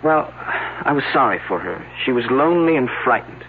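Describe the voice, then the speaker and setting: An earnest, flustered young digger. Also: male voice, medium pitch, very animated, moderate pace, a little hiss or hum. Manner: earnest, stammering. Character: earnest young male dig worker, eager and a bit flustered. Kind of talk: 1970s radio drama acting. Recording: tinny old radio broadcast.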